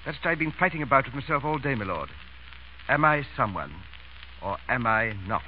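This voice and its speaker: A man's voice: medium pitch, lively intonation, fairly quick, close to an everyday voice. Man acting English valet, deferential yet proud, hesitant, swooping intonation, muffled hissy old radio broadcast. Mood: hesitant pride. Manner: formal, hesitant, stammering, very polite. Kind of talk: 1940s radio comedy acting.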